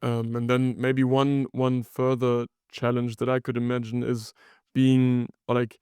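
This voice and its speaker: A man hosting an interview podcast, putting questions together piece by piece in a halting, conversational way. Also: medium pitch, completely flat delivery, a little mellow, clear, resonant tone, no echo. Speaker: podcast host.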